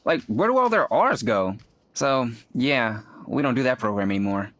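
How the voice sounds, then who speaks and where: A man's voice: mid-range voice, sing-song, normal speed, a bit bright. A man voicing a plain-spoken, folksy islander who grumbles mildly, in a cozy video game. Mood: mildly indignant.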